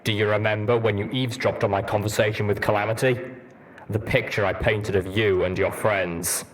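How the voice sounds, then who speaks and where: A man as a low-voiced, stoic armored soldier stallion. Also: fairly even intonation, close to an everyday voice, poor audio. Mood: guarded. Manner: measured, blunt, formal.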